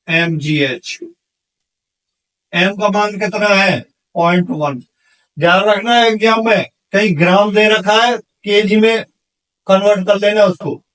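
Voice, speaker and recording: A man's voice: sits high, fairly bright tone, far from the mic, low-quality recording. A man teaching exam problems step by step, asking questions and answering them himself in an instructional manner. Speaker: physics teacher for exam candidates.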